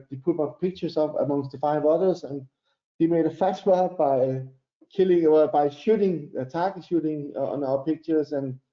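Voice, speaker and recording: A Danish man speaking English as his second language, his voice sitting a little high and bouncing around a roomy space. He talks in a halting, restarting way, full of fillers. The video-call sound is dull and lo-fi. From Denmark. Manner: halting, many fillers and restarts, laughs often. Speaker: guest. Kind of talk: casual interview storytelling.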